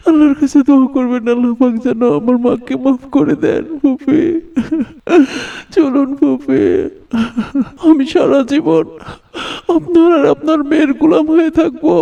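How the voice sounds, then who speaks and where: A man's voice: extremely high pitch, fairly even intonation, normal speed, gravelly, warm tone. A man voicing a remorseful, desperate young husband in a cartoon, begging plaintively. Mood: desperate pleading. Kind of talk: cartoon voice acting. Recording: distant, dull lo-fi microphone.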